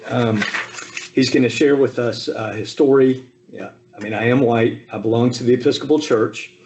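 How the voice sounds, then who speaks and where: A man in his fifties from the American South, giving a relaxed personal talk over a video call with frequent ums and asides. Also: medium pitch, normal speed, slight background noise, poor audio. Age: 50s. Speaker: speaker at a Zoom talk. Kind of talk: personal family history talk.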